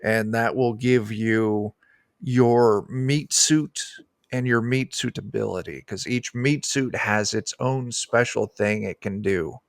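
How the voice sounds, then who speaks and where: A man's voice: fairly low pitch, slow, gravelly, dry recording, clean studio sound. A middle-aged man chatting informally and enthusiastically as a guest game designer, explaining things in a rambling way. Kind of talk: casual explanatory chat about a tabletop game. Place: indoor room on a video call.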